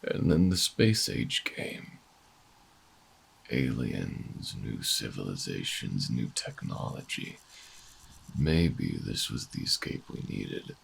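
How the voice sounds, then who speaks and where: Extremely deep, gravelly older revolutionary. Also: male voice, big pitch swings, a little hiss or hum, full character voice, low-quality recording. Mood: bitter, anguished. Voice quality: very gravelly, husky. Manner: dramatic, brooding, halting monologue. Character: bitter older human revolutionary fugitive, defiant and theatrical. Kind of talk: audio roleplay drama acting.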